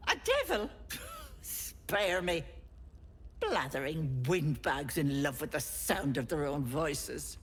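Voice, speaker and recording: An actress playing a bossy, sly old hag posing as a sweet auntie, with cloying pet names that turn into scolding threats, in a video game. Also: female voice, sits low, very animated, takes it slowly, breathy edge, heavily acted. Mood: scornful, bargaining. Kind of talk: theatrical video game character acting.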